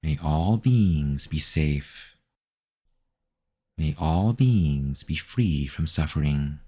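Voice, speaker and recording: A man narrating a guided meditation as the guide, in a measured, instructional manner. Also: very deep voice, expressive, dark tone, husky.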